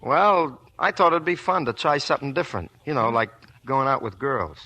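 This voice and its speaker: A man's voice: medium pitch, expressive, normal speed, pushed and bright. An actor playing a shy young male sailor from Brooklyn in a 1940s radio play, timid and hesitant. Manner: timid, hesitant, eager to please. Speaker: lead actor. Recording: old 1940s radio broadcast.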